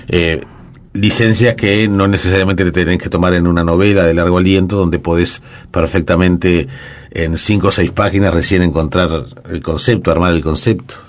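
A man interviewing a writer. He asks questions by way of long, musing asides. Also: low-pitched. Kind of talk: casual interview conversation.

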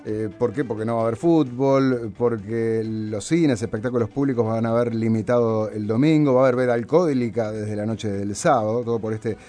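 A man's voice: medium pitch, fairly even intonation, fairly quick, few pauses, dark tone, faint music behind. A man with a Uruguayan accent hosting a radio talk show, explaining at length with many fillers and tag questions. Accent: Uruguayan Spanish.

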